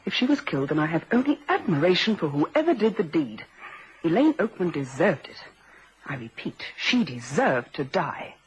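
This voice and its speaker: An actress playing a prim, loyal and defensive secretary in a vintage radio crime drama, speaking formally and precisely. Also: female voice, lowish voice, very animated, normal speed. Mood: cold, bitter. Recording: dull, noisy vintage radio recording.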